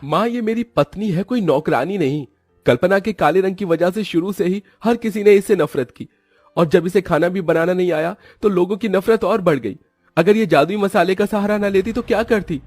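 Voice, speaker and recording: A man's voice: high-pitched, normal speed, darkish tone, no room sound, soft background music, voice pushed up. A man voicing an earnest young husband in a cartoon story, speaking emphatically and pleadingly. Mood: indignant, pleading. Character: earnest young husband defending his wife.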